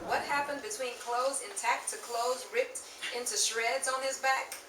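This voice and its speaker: A woman playing an eager, excitable young tagalong in a 1930s-style radio drama, chatty and animated. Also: fairly high pitch, measured pace, some chatter behind. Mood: explaining. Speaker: cast member of an audio drama. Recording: noisy echoey room, mic at a distance.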